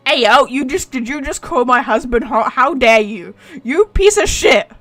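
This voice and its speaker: A woman streaming a game with casual, expressive, sweary commentary and teasing reactions. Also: high voice, normal speed.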